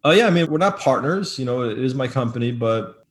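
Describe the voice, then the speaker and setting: A man being interviewed as a business owner, talking casually in a loud, emphatic way that often repeats words. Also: medium pitch, lively intonation, fairly quick.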